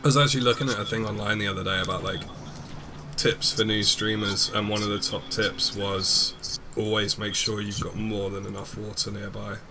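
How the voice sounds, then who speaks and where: Young man, low voice, laid-back stream chatter, noisy home mic. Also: little pitch movement, moderate pace. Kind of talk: casual gaming stream chat.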